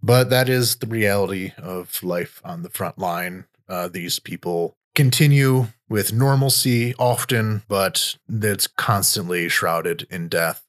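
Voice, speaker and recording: Man with a low voice giving war commentary. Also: slow pace, hardly any pauses, a bit bright. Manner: loose, thinking aloud with frequent restarts. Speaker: podcast host and war reporter. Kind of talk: conversational news commentary.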